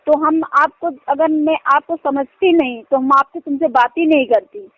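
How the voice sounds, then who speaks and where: A woman with a high-pitched voice speaks in a casual, insistent way on a phone call. She keeps checking that she is being understood. The recording is muffled and lo-fi. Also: fairly even intonation, moderate pace, dry recording. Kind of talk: casual private phone conversation.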